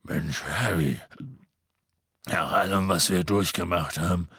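A huge, protective half-giant growls his lines low and gruff, put on by a male audiobook reader. The sound is dry and close. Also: slow, fairly bright tone, dry recording, full character voice. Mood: gruff insistent.

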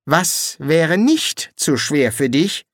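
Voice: mid-range voice, sing-song, slow pace, dry recording, clean studio sound, voice pushed up. A narrator voicing an eager, gullible young male demonologist who makes demands and then pleads wistfully. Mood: pleading. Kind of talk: audiobook reading.

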